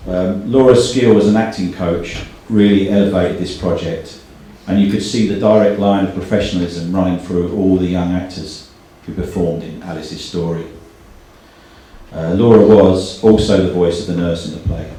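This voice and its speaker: A man with a low, mellow voice gives an earnest introduction as an event host. He speaks a little hesitantly, heard from a distance in a very echoey room with faint noise. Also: fairly even intonation, normal speed. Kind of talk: live introduction speech at a book launch.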